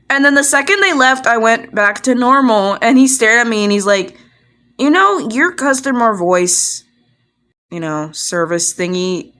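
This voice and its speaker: A woman's voice: mid-range voice, pushed and bright. A woman chatting casually to an iPad microphone in a rambling, self-deprecating way, like a hobbyist vlogger. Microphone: iPad built-in microphone.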